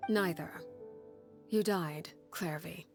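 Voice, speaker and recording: An actress voicing a stern, composed woman who leads an orphanage in a video game, speaking in a measured, formal way. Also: on the low side, creaky, faint music behind. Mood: solemn, composed.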